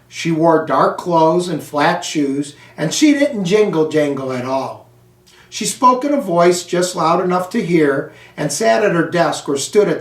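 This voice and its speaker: An American man reading a children's storybook aloud in a deliberate, phrase-by-phrase way. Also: fairly high pitch. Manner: slow, deliberate, phrase-by-phrase delivery. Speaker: school superintendent reading aloud. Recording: echoey room, distant microphone.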